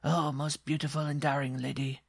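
A man voicing a proud, touchy, lovestruck circus dwarf in a story, with a shrill voice and florid, pompous speech. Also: little pitch movement, normal speed, gravelly, no room sound, studio quality. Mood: pleading, lovesick.

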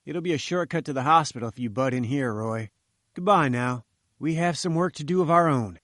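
A man's voice: a little high, fairly quick, a bit bright, no echo, studio quality, natural voice. A man voicing a breezy, jovial young male journalist.

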